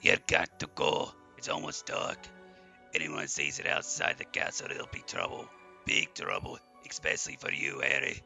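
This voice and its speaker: A man playing a gruff, protective giant gamekeeper in an audio drama, with a gravelly rumble and clipped rustic phrasing. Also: wildly swooping pitch, normal speed, music in the background, exaggerated voice. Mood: anxious, urgent.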